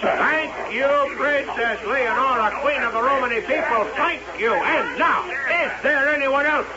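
An actor playing an excitable, fast-talking carnival showman with a theatrical barker's delivery in an old-time radio drama. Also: extremely high pitch, over a noisy crowd. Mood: grand spieling. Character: excitable, fast-talking carnival showman obsessed with his fortune-telling dummy. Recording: muffled, hissy old radio broadcast.

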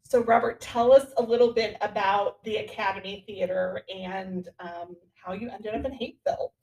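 A woman's voice: mid-range voice, steady tone, normal speed, a little mellow, poor audio. A woman speaking as a podcast host, introducing and interviewing a guest.

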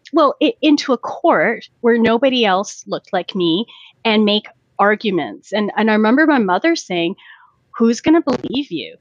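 A woman in her forties from Canada, a lawyer talking thoughtfully in a podcast interview, pausing often with fillers and restarts. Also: medium pitch, dry recording, lo-fi sound.

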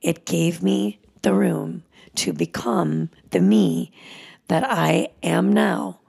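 A woman in her thirties, a yoga teacher, giving a soft, emotional spoken teaching that often drops into a whisper. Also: lowish voice, fairly even intonation, slow pace, gravelly, husky.

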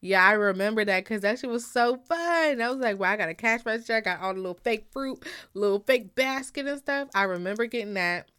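A woman's voice: on the high side, animated, brisk, few pauses, fairly bright tone, smooth, no room sound, clean studio sound. A woman from Guyana co-hosting a podcast, gossiping casually with slang and acted-out quotes. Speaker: podcast co-host.

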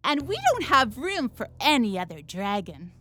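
Man voicing a squeaky, fierce little female ladybug. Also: wildly swooping pitch, bright, forceful tone, heavily acted. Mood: boastful, defiant. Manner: bold, defiant, drawn-out boasts. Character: tiny fierce, boastful female ladybug who thinks she's dragon.

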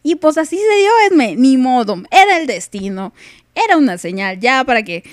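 A woman's voice: sits high, big pitch swings, normal speed, no echo, clean studio sound. A young woman with a Mexican accent hosting a casual live radio podcast, playful and teasing, laughing often. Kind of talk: casual chat on a live stream.

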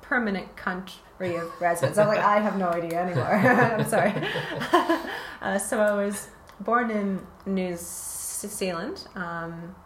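A woman's voice: mid-range voice, measured pace, a crowd in the background. A woman from Canada, a researcher guest in a casual interview, speaking hesitantly with many fillers and frequent laughs. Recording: roomy with faint ambient noise.

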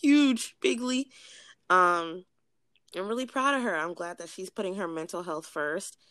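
A woman co-hosting a podcast in casual chat, blunt and profane, her voice a little raspy from cigars. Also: fairly low pitch, unhurried, a bit bright, dry recording, studio quality. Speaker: podcast co-host.

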